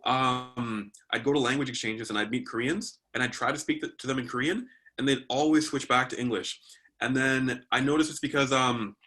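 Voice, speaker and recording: A man from Canada talks as an interview guest with a bright, forward tone. He is thoughtful and often pauses on ums before going on. His pitch sits in a middle range for a man. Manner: thoughtful, hesitant with frequent ums. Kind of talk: casual interview chat.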